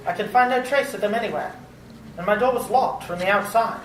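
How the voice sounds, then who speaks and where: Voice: medium pitch, a little fast, a bit bright, lightly acted. An actor playing an earnest, frightened English traveller held prisoner, telling the tale dramatically in an old-time radio drama. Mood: grim. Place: live performance at a public library. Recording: roomy, distant, noisy live recording.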